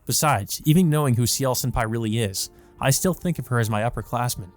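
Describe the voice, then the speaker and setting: A man's voice: medium pitch, fairly quick, soft background music, natural voice. Man playing an earnest, introspective young student. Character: earnest, exasperated male student, young and devoted. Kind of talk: visual novel monologue read aloud.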